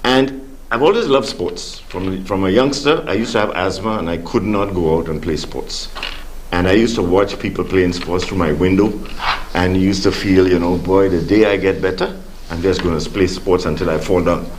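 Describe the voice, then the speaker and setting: A man's voice: low voice, moderate pace, slight background noise. An older Caribbean man born in Grenada, a studio guest telling his life story in an easygoing way with many fillers. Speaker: studio guest, sports official. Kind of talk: relaxed interview answers.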